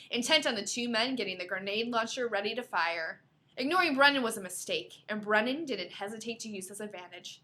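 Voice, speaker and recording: A woman's voice: mid-range voice, pushed and bright, a little hiss or hum. A woman podcast host reading a novel aloud expressively, breaking into playful, casual asides.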